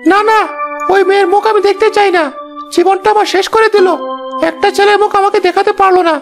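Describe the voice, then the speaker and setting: Woman acting a high-pitched, fast-talking bullying husband. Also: fairly even intonation, creaky. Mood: bitter. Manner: harsh, threatening. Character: cruel, violent, bullying village husband. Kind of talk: Bengali animated horror story acting. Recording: music under the voice.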